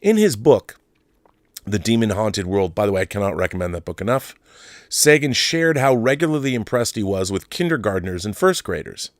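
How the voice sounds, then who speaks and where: Low-voiced man hosting, dry close sound. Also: lively intonation, moderate pace, dry recording. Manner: conversational asides, repeats himself for emphasis. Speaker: livestream host. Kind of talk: casual live commentary, reading out comments and articles.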